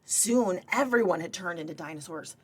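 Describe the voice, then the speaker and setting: Woman reading a children's story, slightly husky. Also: fairly low pitch, normal speed. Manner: expressive, with chatty asides to young listeners. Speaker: library director and former children's librarian reading aloud. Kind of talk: children's story-time read-aloud.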